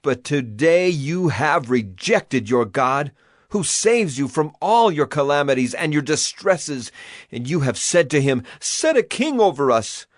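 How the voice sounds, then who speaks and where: Man playing a stern, high-voiced biblical prophet. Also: big pitch swings, a little slow, no echo, studio quality. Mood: stern, reproachful. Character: stern, solemn male prophet addressing his nation. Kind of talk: dramatized scripture reading.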